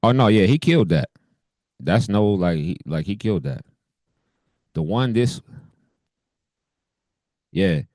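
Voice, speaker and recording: A man co-hosting a podcast, chatting casually with loose slang and frequent laughs, his deep voice hoarse from a cold. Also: lively intonation, takes it slowly, pauses often, a little mellow, no room sound. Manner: laid-back, laughs often, loose slang. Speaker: podcast co-host. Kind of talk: casual chat.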